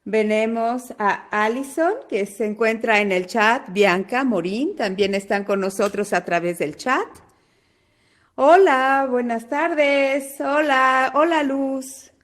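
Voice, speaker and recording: An Argentine woman hosting a live online webinar over a video call, greeting attendees one by one in an unhurried way. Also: a little high. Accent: Argentine Spanish. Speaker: webinar host.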